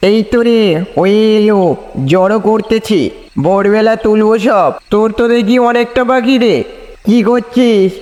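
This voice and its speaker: Very high-pitched rustic boatman played by a man. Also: steady tone, a little slow, a little mellow. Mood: scolding banter. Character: rustic village boatman, bantering and proud of village. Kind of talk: cartoon horror story dialogue. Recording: long reverb, echoing space.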